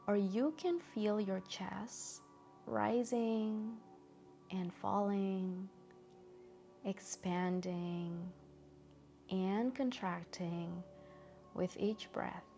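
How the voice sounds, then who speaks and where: A woman guiding a meditation in a gentle, soothing, soft-spoken way with long pauses. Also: medium pitch, gravelly, soft background music. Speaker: meditation guide. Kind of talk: guided meditation narration.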